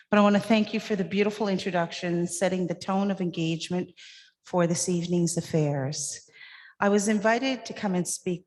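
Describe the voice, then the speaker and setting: An older woman speaking at a podium microphone, giving a public address in a warm, chatty, self-deprecating manner. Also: lowish voice. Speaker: cultural consultant and speaker. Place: large event hall, at a podium. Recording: reverberant event hall.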